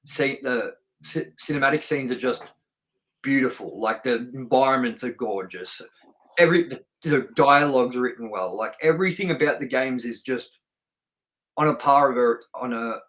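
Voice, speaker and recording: A man's voice: mid-range voice, fairly bright tone. A man co-hosting a film review show, chatting casually and enthusiastically with informal, sweary language.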